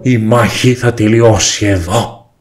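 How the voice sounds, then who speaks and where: A man's voice: fairly low pitch, natural voice. A man voicing a grave ancient sage. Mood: solemn. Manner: slow, solemn counsel. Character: ancient wise sage spirit, calm and grave.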